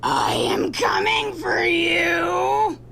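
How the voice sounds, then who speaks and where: A woman's voice: extremely high pitch, huge pitch swings, extremely slow, vocal fry, shouting, heavy background noise, full character voice. A woman playing a menacing, protective spirit in a horror radio drama, drawing out words in an eerie voice. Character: ghostly possessing spirit in a madwoman, menacing, protective.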